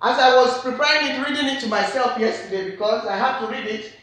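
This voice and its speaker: A woman with a Nigerian accent delivers a sermon, her voice bright and forceful. She presses her points through earnest repetition, and the church hall around her rings with heavy echo. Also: a little high, fast talker. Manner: earnest, repetitive emphatic phrasing. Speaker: preacher.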